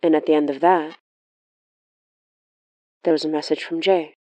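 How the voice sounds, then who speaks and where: A woman's voice: low voice, steady tone, normal speed, warm tone, no echo, lightly acted. A woman playing a wry, watchful sorcerer who narrates a horror audio diary in a confiding, matter-of-fact way.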